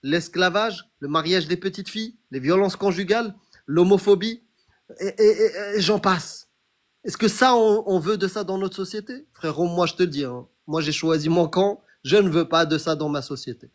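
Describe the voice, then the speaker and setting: A man's voice: sits high, moderate pace. A young man hosting a debate, pressing his point insistently and addressing the other as a brother. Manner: challenging, insistent, calls the other "frérot". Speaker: host of a debate stream. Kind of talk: heated debate on religion.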